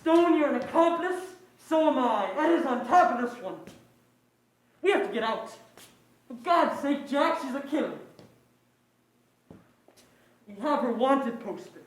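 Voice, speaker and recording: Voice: high voice, lively intonation, normal speed. Scheming, persuasive crime partner for a noir stage play, performed by an actor; clipped, urgent delivery in an echoing hall. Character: hard-boiled, scheming crime partner pushing a deal. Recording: echoey stage recording.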